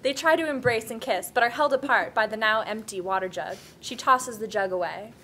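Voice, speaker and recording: A woman's voice: fairly high pitch, a little fast, fairly bright tone. A woman narrator reading scripted stage directions at a table read, with faint room noise.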